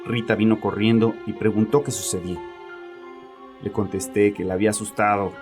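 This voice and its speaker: An actor playing a troubled, chauvinist bereaved father confessing in an audio horror drama, with a haunted, halting manner. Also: on the low side, flat delivery, over loud music, natural voice. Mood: agitated. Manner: haunted, halting, repeats himself.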